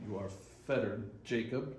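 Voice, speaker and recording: A man's voice: on the low side, moderate pace, darkish tone, close to an everyday voice. A skeptical old miser played by a man confronting spirits in a staged ghost story. He is wry and hesitant, muttering with unease in an echoey room with faint noise behind him. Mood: uneasy, questioning. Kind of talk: readers theater performance of a Victorian ghost story.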